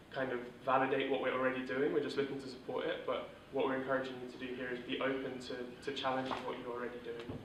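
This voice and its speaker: A man's voice: mid-range voice, recorded from a distance, slight background noise. Man teaching in an echoey room. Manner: steady, monotone delivery with filler words. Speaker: workshop instructor. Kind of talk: informal teaching talk.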